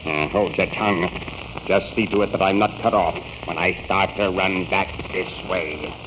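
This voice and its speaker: An actor playing a proud, scheming army general in a 1930s radio serial, blustering and imperious. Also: male voice, low voice, steady tone, moderate pace, close to an everyday voice. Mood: scheming, determined. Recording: noisy, dull old radio recording.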